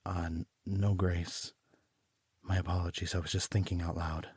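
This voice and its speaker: An actor playing a troubled homicide detective, narrating his case in a deliberate, brooding, literary style for an audio drama. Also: low-pitched, little pitch movement, a little mellow, husky, no room sound. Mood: flustered, evasive. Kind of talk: scripted first-person noir audio drama narration.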